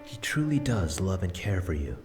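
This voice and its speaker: A man voicing the spirit of an elderly grandfather, speaking softly and patiently. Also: sits low, very animated, moderate pace. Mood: tender. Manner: soft and tender, explaining patiently. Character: gentle elderly grandfather's spirit, loving and regretful. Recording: music bed under voice.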